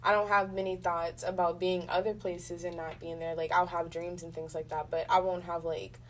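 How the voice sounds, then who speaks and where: A woman's voice: fairly low pitch, level tone, normal speed, a bit bright. A woman in a casual, reflective interview, speaking in a slangy, meandering way as the guest. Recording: distant mic, faint background noise.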